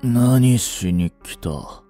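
An actor playing a proud, aloof teenage boyfriend in a romance voice drama, curt and dismissive, later feverish and weak. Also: male voice, mid-range voice, expressive, very slow, mellow, faint music behind.

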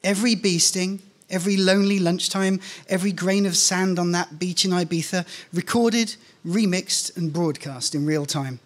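A man's voice: high-pitched, normal speed, few pauses. A middle-aged man reading a scripted first-person story aloud on stage into a stand microphone. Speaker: reader of a short story at a live event. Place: indoor stage at a live reading event. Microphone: microphone on a stand, close in front.

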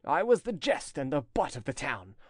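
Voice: medium pitch, big pitch swings, normal speed, dry recording, studio quality. A narrator voicing a jealous, haughty nobleman of old France in a declamatory, sneering, theatrical way for a storybook. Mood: wrathful accusing.

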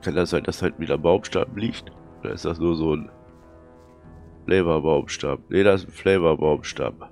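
A man with a cold and a hoarse, thick voice, casually commenting on his gameplay and muttering to himself as he plays. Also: a bass voice, moderate pace, darkish tone, soft background music. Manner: chatty, muttering to himself while playing. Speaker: let's play commentator.

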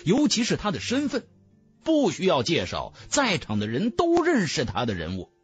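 A male audiobook narrator tells a fantasy tale with dramatic flair. His voice sits fairly high and moves very widely in pitch, with soft music behind him. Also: moderate pace, slightly rough. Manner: dramatic, expressive storytelling. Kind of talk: scripted fantasy novel narration. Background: background music.

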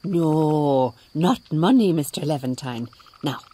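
A woman playing a shrewd, devout Mother Superior in a radio drama, speaking in a measured, dryly ironic way. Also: sits low, animated, mellow, breathy edge, exaggerated voice. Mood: firm, shrewd. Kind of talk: radio drama acting and narration.

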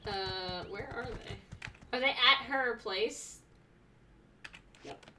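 A woman's voice: fairly high pitch, sharp tone, distant mic, a little hiss or hum. Sarcastic young woman gaming streamer. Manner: sarcastic, blunt, quick reactions. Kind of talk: casual let's-play commentary. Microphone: desk mic, webcam.

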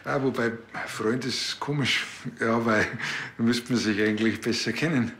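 A man's voice: medium pitch, normal speed, close to an everyday voice. An actor playing a chatty, awkward rural policeman with a Bavarian accent and a rambling, earnest manner. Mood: awkward, flirtatious. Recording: loud vehicle and ambience noise.

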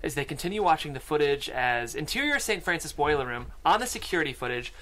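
A man with a fairly high voice narrates a screenplay at a table read. He delivers scene headings and stage directions into a close studio mic. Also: very animated, quick pace, sharp tone. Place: small podcast studio. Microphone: close studio microphone.

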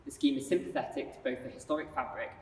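A male architect explaining renovation works in a steady way, with frequent um fillers, filmed in a large hall under renovation. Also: mid-range voice, quick pace. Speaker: architect giving a site tour. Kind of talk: scripted walkthrough presentation. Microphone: camera mic from a few metres away. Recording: roomy echo, distant mic, faint background noise.

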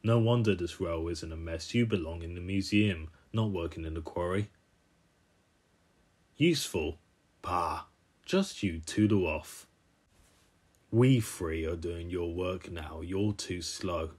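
A sneering bully of a talking vehicle from a children's cartoon, voiced by a man. The voice is pitched low and drips contempt as it mocks everyone else. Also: unhurried, pauses often, darkish tone, husky. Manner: contemptuous, mocking. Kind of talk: amateur cartoon character voice reel.

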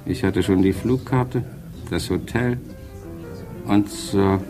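An actor playing a resigned, grumbling married gentleman in a 1950s German radio play, talking in clipped phrases. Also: low voice, slow, music in the background. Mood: resigned, regretful.

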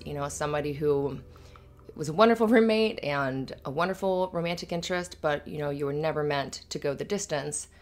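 A low female voice over faint music , a woman acting the part: an affectionate live-in girlfriend in an intimate ASMR roleplay. She is earnest and a little anxious, talking in a conversational, hesitant ramble. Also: lightly acted. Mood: thoughtful, earnest. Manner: rambling, hesitant, giggly asides. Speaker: audio roleplay performer.

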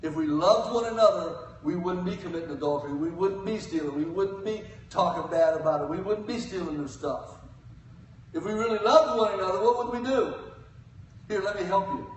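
An older man delivers a sermon from behind a wooden pulpit, his voice sitting high for a man. He rises to loud cries and drops to quiet asides. The sound comes from well back in a very echoey sanctuary, with a faint hiss underneath. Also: a little fast. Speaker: guest preacher. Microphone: pulpit mic, filmed from the pews. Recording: echoey church from far off.

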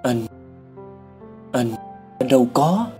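A man's voice: mid-range voice, expressive, slow, dark tone, soft background music. A man playing an earnest, flustered husband in a dubbed cartoon, hesitant and pleading. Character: earnest, flustered husband devoted to saving animals. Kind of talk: dubbed animation acting.

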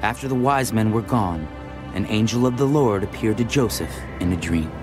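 A man's voice: fairly low pitch, expressive, normal speed. A man reading scripted narration for a dramatized audio Bible. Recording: faint music behind the voice. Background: music.

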